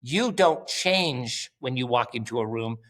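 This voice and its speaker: A male company founder speaks as a podcast guest. He is blunt and animated and swears casually as he talks. He acts out bits of dialogue with other people as he tells his stories. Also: medium pitch, a little slow. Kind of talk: casual interview.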